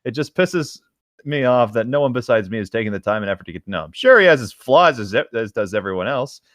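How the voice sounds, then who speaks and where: Guy reading fanfic out loud, informal, lively intonation, medium pitch. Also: fast, dry recording, clean studio sound. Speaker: co-host reading fan fiction aloud. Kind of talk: informal read-aloud of a story.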